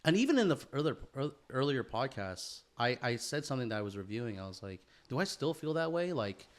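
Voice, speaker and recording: A man's voice: medium pitch, big pitch swings, fast talker. A man co-hosting a podcast in casual chat, peppering his talk with profanity and "bro" slang. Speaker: podcast co-host.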